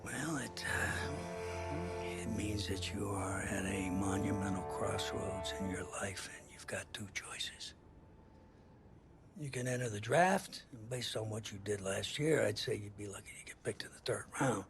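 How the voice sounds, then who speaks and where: A middle-aged football coach with a gravelly voice that turns breathy when quiet. He is earnest and firm, picking his words slowly in a video game scene, with music behind him. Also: on the low side. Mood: firm, frank. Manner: halting, deliberate, plain-spoken. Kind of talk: naturalistic video game drama acting.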